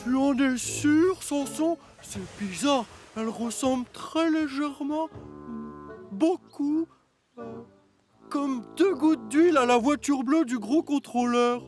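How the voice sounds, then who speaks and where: Locomotive hésitante, voix très aiguë. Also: male voice, extremely high pitch, takes it slowly, faint music behind. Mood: doubtful. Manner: hesitant, questioning. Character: hesitant, doubtful, obliging male sidekick locomotive. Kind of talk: children's cartoon dub acting.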